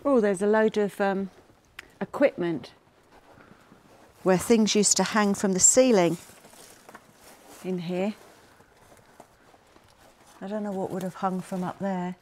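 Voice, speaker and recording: A woman's voice: mid-range voice, steady tone, slow pace, long pauses, darkish tone. A woman casually narrating a visit to an old airport outdoors. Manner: chatty, curious, wondering aloud. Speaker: travel vlogger.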